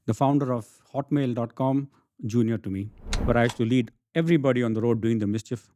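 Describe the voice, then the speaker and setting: A man's voice: medium pitch, a little fast, warm tone, slight background noise. A middle-aged man from India with an Indian accent, telling his story emphatically in a conversational interview and often checking in with the listener. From Bangalore, India. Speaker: interview guest, entrepreneur and author.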